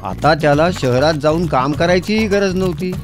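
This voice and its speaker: A man's voice: a little high, normal speed, over music. A man narrating a scripted children's fairy tale as storyteller.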